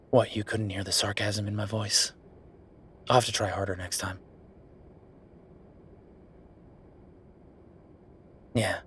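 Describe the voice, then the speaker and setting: A man playing a weary, wry younger werewolf boyfriend working late, speaking haltingly in an intimate roleplay audio drama. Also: slight background noise. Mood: sarcastic. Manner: low-key, sardonic, halting, sighing.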